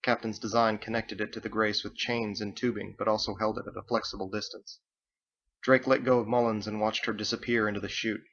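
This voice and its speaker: A man's voice: on the low side, fairly bright tone, lo-fi sound. A man reading his story aloud as dramatic scripted audiobook narration. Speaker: author reading own book.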